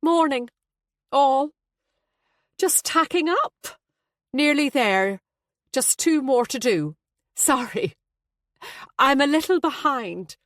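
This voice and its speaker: A woman's voice: very high-pitched, expressive, very slow, darkish tone, no echo, studio quality, pitched above the performer's own voice, exaggerated voice. A woman voicing a petulant, self-pitying middle-aged mother in an audiobook, with a wounded, pleading delivery. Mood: strained politeness.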